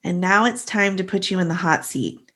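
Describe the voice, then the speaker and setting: A woman co-hosting a podcast, talking earnestly and warmly to her mother, with many "you know" fillers. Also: fairly low pitch, moderate pace. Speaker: podcast co-host. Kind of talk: casual family chat.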